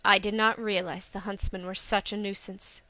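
Proud young lord of a storybook, voiced by a female narrator: formal, earnest, bright and crisp. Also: mid-range voice, flat delivery, normal speed, dry recording. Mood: taken aback. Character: proud young landowner's son, demanding, then humbly formal.

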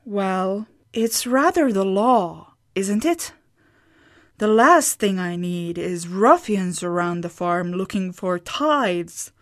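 A woman's voice: medium pitch, lively intonation, slow. A woman voicing a blunt, exasperated farm wife. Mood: indignant.

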